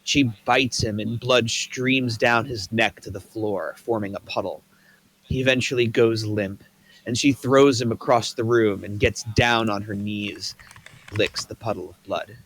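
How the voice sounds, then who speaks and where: A man reading the stage directions aloud at a table read in a plain, even way. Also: on the low side, a little slow, rough recording.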